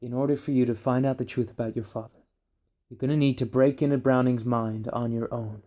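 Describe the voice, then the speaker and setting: A commanding male heist leader acted by a man in a fan audio drama. His voice sits at a middle pitch as he directs his crew. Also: steady tone, brisk, mellow, lightly acted. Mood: briefing.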